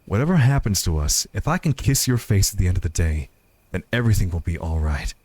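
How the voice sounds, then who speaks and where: A man's voice: sits low, big pitch swings, fast talker, a little mellow, dry recording, pitched below the performer's own voice. A man voicing a tender, reassuring cowboy outlaw with a folksy Western drawl in a visual novel.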